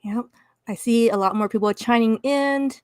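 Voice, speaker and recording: A woman from Canada hosting a live-streamed panel discussion, casual and halting with frequent fillers, speaking over a video call. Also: a little high, a little mellow, smooth, dry recording, clean studio sound. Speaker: host.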